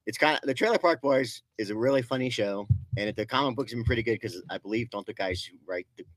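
A man's voice: medium pitch, fast talker, fairly bright tone, slight background noise, rough recording. Man presenting comics at a shop. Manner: enthusiastic, recommends books one by one. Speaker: co-host presenting new comics. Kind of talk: casual chat. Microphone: desk mic.

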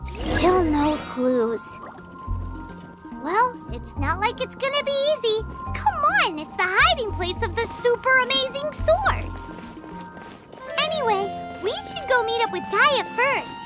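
Tiny fairy girl, extremely high, squeaky, excitable, boastful, game dialogue over prominent music. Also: a little slow, exaggerated voice. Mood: chatty. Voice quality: squeaky, childlike. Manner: bubbly, boastful, exaggerating. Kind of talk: video game voice acting.

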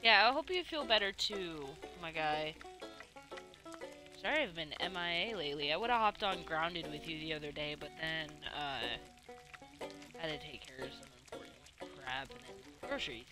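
A woman's voice: deep voice, lively intonation, moderate pace, over music. A woman streaming a game and chatting casually, hesitant and rambling, with free swearing. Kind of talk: casual livestream chat while playing.

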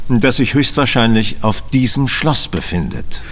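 A self-assured gentleman detective in an audio drama. His medium-pitched voice is measured and courteous, with faint noise behind it. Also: sing-song. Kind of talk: radio play acting.

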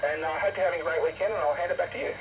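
A man's voice: on the high side, rapid-fire. An Australian man, friendly and modest, chatting casually as a ham operator, heard through a shortwave radio receiver with compressed audio. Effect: shortwave single-sideband radio. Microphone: compressed radio mic heard through receiver speaker. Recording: noisy, muffled shortwave radio reception. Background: loud radio static.

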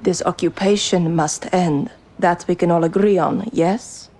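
An actress playing a grave, principled young rebel commander in a game, with a Middle Eastern accent and measured, firm delivery. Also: female voice, lowish voice, steady tone, dark tone, a little hiss or hum, natural voice. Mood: firm, commanding. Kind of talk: cinematic game acting.

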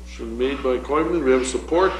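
A man chairing a city council meeting as mayor, calling motions and votes in a brisk, routine manner. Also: mid-range voice. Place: council chamber, large room. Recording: echoey council chamber with background noise.

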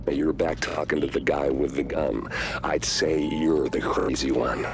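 A man's voice: extremely low pitch, little pitch movement, unhurried. A man playing a cold, bitter rogue agent in a video game, menacing and persuasive. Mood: calm menace. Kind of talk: video game cinematic acting.

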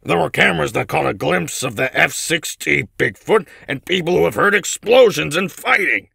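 A stern male official played by an actor in an audio drama. His voice is very gravelly and forcefully bright, curt with displeasure, and recorded dry. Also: on the high side, expressive, normal speed, dry recording, heavily acted. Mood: stern reproach. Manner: curt, authoritative reprimanding delivery.

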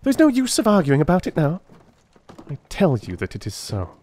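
A man playing a brilliant, brisk detective in a dramatised storybook, commanding, precise, excitable and impatient. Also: fairly high pitch, voice pushed up. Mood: scornful.

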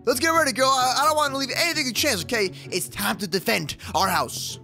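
A man playing an excitable, sassy kid hero in an animated gaming roleplay, peppering his talk with slang. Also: very high voice, brisk, creaky, faint music behind, full character voice. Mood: eager. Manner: slangy, says bro and dude constantly. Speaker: gaming video creator playing the lead character. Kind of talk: animated Minecraft kids roleplay acting.

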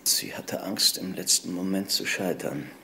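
A middle-aged married man with a low voice, in a crime drama. He answers in a subdued, defensive way, with faint background noise. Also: measured pace, vocal fry, pushed and bright, full character voice. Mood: subdued, wistful. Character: grieving married man, defensive, questioned by police.

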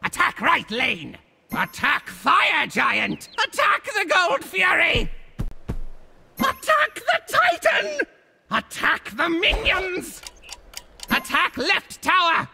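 A man's voice: extremely high pitch, huge pitch swings, takes it slowly, gravelly, pushed and bright, exaggerated voice. A man voicing a boastful, theatrical cartoon monster villain for a video game, with a hammy, swooping delivery. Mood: commanding, urgent.

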